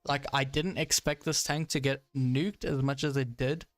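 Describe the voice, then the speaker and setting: A man's voice: medium pitch, steady tone, normal speed, no echo. A young man giving casual live commentary while playing a tank game, chatty with frequent fillers and frustrated asides. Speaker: gaming streamer.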